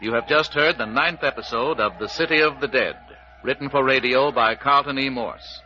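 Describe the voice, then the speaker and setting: Bright, emphatic vintage radio announcer man. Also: mid-range voice, moderate pace. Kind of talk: dramatic scripted 1930s-40s radio announcing. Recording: dull, faintly noisy old radio recording.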